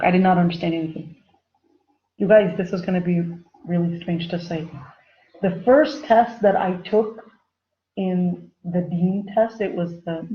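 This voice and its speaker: A woman's voice: fairly low pitch, highs cut off. A woman teaching an informal online Islamic studies lesson, chatty and repeating her phrases for emphasis while addressing the viewers directly.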